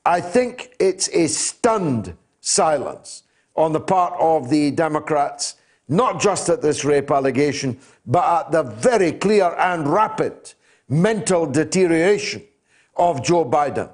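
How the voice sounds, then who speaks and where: Guy hosting call-in radio, emphatic and deliberate, kinda high voice for a man. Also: animated, darkish tone. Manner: emphatic, measured, with deliberate pauses. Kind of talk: opinionated talk radio commentary.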